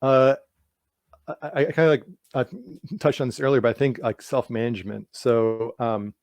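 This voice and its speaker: A man's voice: medium pitch, fairly even intonation, moderate pace, darkish tone, no room sound. Stuttering man, dry close sound. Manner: stutters with sound and word repetitions; heavy use of "like" as filler. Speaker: guest author. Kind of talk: casual interview answers.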